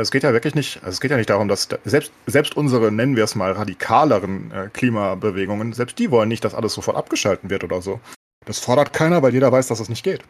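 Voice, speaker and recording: A man's voice: medium pitch, slightly rough. A man explaining things in casual podcast chat, rambling and colloquial, dropping in English slang. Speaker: podcast co-host.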